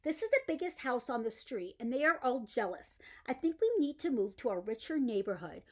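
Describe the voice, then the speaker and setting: A woman's voice: a little high, sing-song, brisk, darkish tone. A woman voicing an eager fisherman's wife who always wants more, emphatic and demanding, in a bedtime story. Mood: complaining. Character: eager, ever-wanting fisherman's wife in a fairy tale.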